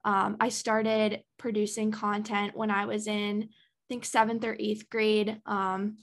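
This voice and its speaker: A woman's voice: mid-range voice, level tone, measured pace, creaky. A woman in her early twenties, a podcast guest talking in a chatty, casual way with many ums and likes. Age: early 20s.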